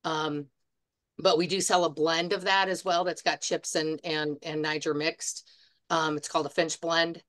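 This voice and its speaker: A woman's voice: low-pitched, flat delivery, a little slow, fairly bright tone. Middle-aged woman, chatty store owner. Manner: chatty, practical, many fillers. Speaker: bird supply store owner. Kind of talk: casual panel chat. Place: home room. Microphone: video call.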